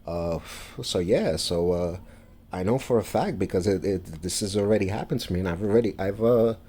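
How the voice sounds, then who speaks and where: Deep new guy rambling to camera, stops and restarts a lot, mellow low voice. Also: husky. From New York, USA. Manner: halting, many fillers and restarts. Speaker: vlogger. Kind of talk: casual monologue to camera.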